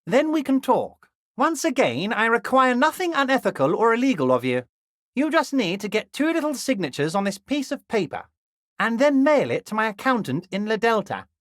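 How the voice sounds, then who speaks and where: A man voicing a scheming middle-aged union boss in a video game, folksy and wheedling, friendly on the surface with a quiet threat underneath. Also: very high voice, very animated, normal speed, no echo, clean studio sound, exaggerated voice. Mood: wheedling menace. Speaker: game character voice.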